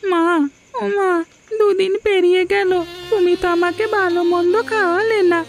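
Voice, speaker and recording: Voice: extremely high pitch, steady tone, moderate pace. An actor voicing a sick young village boy in a cartoon, pleading in a plaintive childlike way. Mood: plaintive, eager. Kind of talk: Bengali cartoon dialogue.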